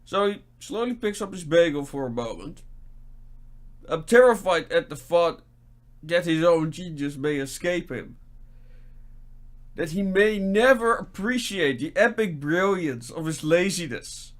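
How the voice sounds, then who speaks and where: A man with a somewhat high voice tells a comic tale at a very unhurried pace. He hosts like a theatrical storyteller, leaning on key words for dramatic emphasis. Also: animated. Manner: theatrical, deliberate delivery with drawn-out emphasis. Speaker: storyteller and show host.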